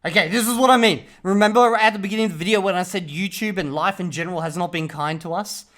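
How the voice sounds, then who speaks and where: A man's voice: sits high, quick pace, a bit bright. A man giving casual reaction commentary on a video, blunt and profane, with laughing asides.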